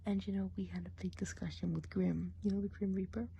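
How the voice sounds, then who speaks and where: A woman playing a gossipy witch of the woods in an ASMR role play, chatting along in a soft, rambling whisper. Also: medium pitch, little pitch movement, fast, gravelly, muffled recording, a little hiss or hum, close to an everyday voice. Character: gossipy female forest witch.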